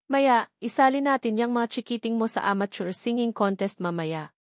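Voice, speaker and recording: A woman narrator plays an older widow from a storybook romance, a food vendor who urges people on in a lively, insistent way. The sound is dry and close. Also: a little high, normal speed, no echo, lightly acted. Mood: cheerful urging. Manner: lively urging. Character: pushy, cheerful older widow who runs a food stall.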